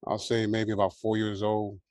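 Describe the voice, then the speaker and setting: A man in his sixties from Hawaii, raised in Southern California, telling his life story as a guest in a relaxed way, full of fillers. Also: lowish voice, slight background noise. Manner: relaxed, unhurried, many fillers and "you know". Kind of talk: casual interview storytelling.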